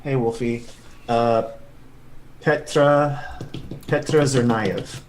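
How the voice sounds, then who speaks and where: A man playing a tabletop role-playing game, describing his character in halting, thinking-aloud speech. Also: mid-range voice, steady tone, darkish tone, poor audio. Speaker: player. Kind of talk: casual tabletop role-play chat.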